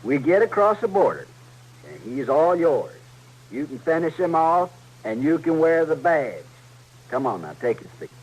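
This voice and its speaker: Over faint hum and noise on an old radio recording, a bossy outlaw chief from a radio Western. His rural Western speech swings widely in pitch, folksy and commanding, always scheming and ordering his partner around. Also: sing-song, normal speed. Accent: rural American West. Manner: folksy, commanding drawl with tag questions.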